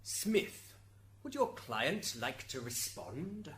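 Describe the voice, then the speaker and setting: A man's voice: fairly high pitch, very animated, extremely slow, a bit bright, exaggerated voice. A man acting an authoritative afterlife judge, formal and commanding, in an amateur radio drama. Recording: hissy amateur recording, background noise.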